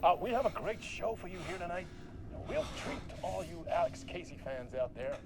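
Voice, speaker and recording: An actor playing a slick, effusive late-night host in a video game, with theatrical, booming showmanship. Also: male voice, sing-song, brisk. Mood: exuberant. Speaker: talk show host. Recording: noisy studio with cheering crowd.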